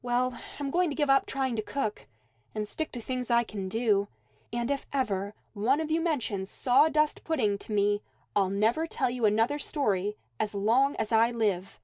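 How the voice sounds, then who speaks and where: Woman doing a high, eager girl storyteller. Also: normal speed, darkish tone, dry recording, studio quality, lightly acted. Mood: indignant.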